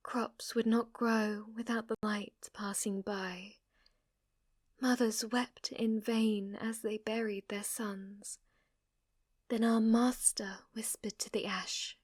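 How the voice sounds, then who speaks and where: Casting: obsessive, submissive maid played by a woman for ASMR roleplay; higher-pitched, unhurried, formal and flirty. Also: dry recording, studio quality. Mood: hushed reverence.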